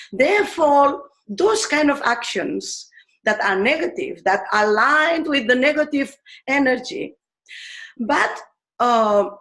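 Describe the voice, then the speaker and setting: A woman in her fifties from Spain with a Spanish accent, a teacher explaining ideas in a thoughtful way, pausing often with fillers. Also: fairly high pitch, a bit bright. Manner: thoughtful, pauses with many fillers. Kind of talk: explanatory talk on pedagogy.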